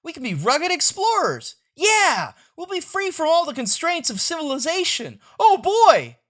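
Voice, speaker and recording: A dramatic little boy from a comic strip, performed by a man, full of schemes and exclamations. The voice is pitched up and bright, swinging widely as he gets excited. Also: unhurried, heavily acted. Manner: exclamatory, theatrical.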